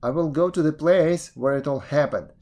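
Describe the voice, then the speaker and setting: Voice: mid-range voice, normal speed, a little mellow, pitched above the performer's own voice, natural voice. A storyteller voicing a sly jackal who acts muddled, plaintive and scatterbrained in an animal folktale. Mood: sad bewilderment. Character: sly jackal feigning muddled, whimpering confusion.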